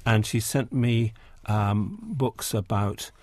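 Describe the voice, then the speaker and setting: Man, English accent, 60s, low voice, dry studio sound, halting, restarts often. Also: expressive, darkish tone, dry recording. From England. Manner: hesitant, many ums and restarts. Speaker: interview guest, poet and editor. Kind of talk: relaxed radio interview conversation.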